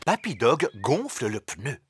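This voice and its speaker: A man narrating a children's cartoon in French in a clear storytelling style. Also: mid-range voice, big pitch swings, measured pace, noisy background. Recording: music behind the voice.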